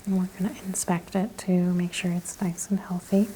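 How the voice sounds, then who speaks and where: A woman whispering gently in an ASMR spa roleplay, softly and slowly describing each thing she does in a breathy voice. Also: lowish voice, little pitch movement, a little hiss or hum, rough recording. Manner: slow, gentle, step-by-step narration of actions. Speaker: ASMR performer playing a spa practitioner.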